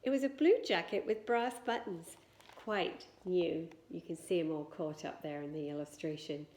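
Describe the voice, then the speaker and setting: Woman, slightly low voice, children's storybook reading, expressive delivery, dramatic emphasis, hushed suspense. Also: moderate pace, a little hiss or hum. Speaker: guest storyteller reading aloud.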